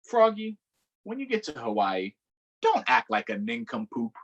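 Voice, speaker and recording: A man's voice: mid-range voice, huge pitch swings, normal speed, highs cut off. A jolly father frog from a picture book, calling out heartily to his son, as a man reads him aloud. His voice is a little lifted from the narrator's and swings up and down as he talks. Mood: cheerful.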